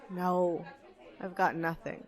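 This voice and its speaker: A woman playing a playful, sarcastic young barista in an audio drama, with cafe chatter behind her. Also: on the low side.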